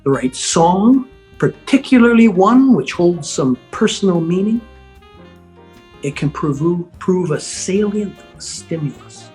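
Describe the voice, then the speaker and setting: An amateur actor playing a genial, self-satisfied asylum doctor in a table read, formal and measured. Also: mid-range voice, expressive, darkish tone, poor audio. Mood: smooth, proud. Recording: video call with music underneath. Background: music.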